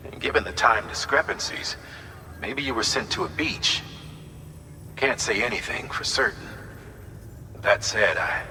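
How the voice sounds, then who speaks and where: Game cutscene: authoritative commander, lowish but very forceful, deliberate, formal delivery, reverberant hall sound. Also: male voice. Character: authoritative male commander briefing a courier. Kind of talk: dramatic video game cutscene briefing. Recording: long hall reverb, faint background noise.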